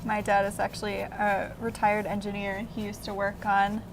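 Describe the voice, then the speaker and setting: A woman's voice: medium pitch, monotone, normal speed. A woman co-hosting a craft show, talking casually around a table indoors. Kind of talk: casual chat. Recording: noisy room with table sounds.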